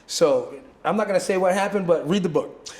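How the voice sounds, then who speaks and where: A middle-aged man from Jamaica tells stories in an interview, his voice sitting a little high for a man. He keeps acting out other people's lines in shifting voices and often restarts his sentences. The room around him sounds echoey. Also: brisk, a little mellow. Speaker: martial arts instructor and guest. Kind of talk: animated storytelling in conversation.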